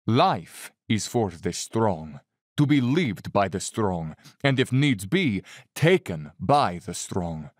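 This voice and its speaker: An actor playing a suave, cold-blooded Cossack general who loves the hunt, speaking with urbane condescension in an audio drama. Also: male voice, on the low side, very animated, dry recording, clean studio sound, exaggerated voice. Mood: haughty, philosophizing. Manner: urbane, bland, condescending, theatrically unhurried. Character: suave, cold-blooded aristocratic Cossack general, fanatical big-game hunter.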